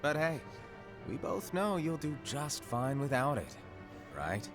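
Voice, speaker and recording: A teasing hooded keyblade master. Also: male voice, a little high, big pitch swings, takes it slowly, full character voice. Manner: teasing, breezy, secretive. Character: mysterious playful hooded male keyblade master. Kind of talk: game cutscene voice acting. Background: game soundtrack music.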